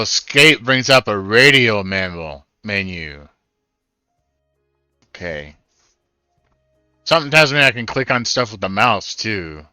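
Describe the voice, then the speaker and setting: Deep, crisp-voiced man musing over a game. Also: pushed and bright, no echo, studio quality. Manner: thinks aloud, musing. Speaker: gaming streamer. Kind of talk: casual first-time playthrough commentary. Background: game music.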